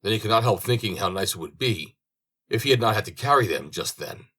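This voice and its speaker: A low-pitched man narrates a fairy tale as an audiobook reader. His delivery is expressive, with a lively range and a husky edge. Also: brisk.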